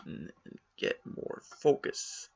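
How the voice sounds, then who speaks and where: A man's voice: drawn-out words, muffled recording. A man giving opinionated commentary on a written post, critical and matter-of-fact with sarcastic asides.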